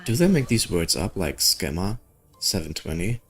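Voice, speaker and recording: A man giving casual playthrough commentary as a gaming video host, hesitantly thinking out loud. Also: low voice, sing-song, moderate pace, breathy edge. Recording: background music under the voice.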